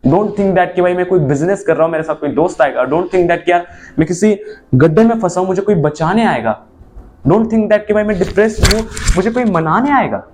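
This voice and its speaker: A man's voice: expressive, a little fast, a little mellow. Earnest young man giving life advice. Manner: earnest, mixes Hindi with English phrases, swings between emphatic and low. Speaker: motivational vlogger. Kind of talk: motivational monologue to camera.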